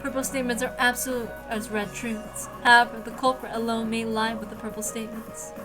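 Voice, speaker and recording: A woman's voice: a little high, flat delivery, brisk, poor audio. A woman reading a visual novel aloud as dramatic scripted narration. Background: music.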